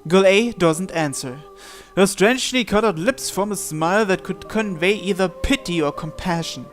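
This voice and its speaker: A man with a fairly high voice narrates a visual novel as he plays. He reads the text expressively and drops in casual remarks, with music behind him. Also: normal speed. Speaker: gamer hosting a visual novel playthrough. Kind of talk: reading game text aloud with casual commentary.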